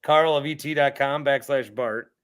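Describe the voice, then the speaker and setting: A man's voice: medium pitch, fairly even intonation, normal speed, fairly bright tone, clean tone. A man hosting a casual sports podcast, easygoing and jokey as he chats with a guest. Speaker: podcast host.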